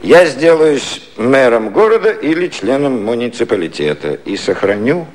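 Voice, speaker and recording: An actor playing a wily elderly British prime minister in a 1950s satirical radio play, ironic, declamatory and coaxing. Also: male voice, mid-range voice, sing-song, normal speed, natural voice. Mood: stern, declamatory.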